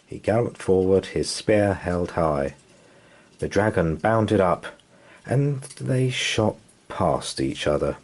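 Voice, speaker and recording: Slow, animated low-voiced man reading a storybook. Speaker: children's story reader. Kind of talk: animated bedtime storybook narration.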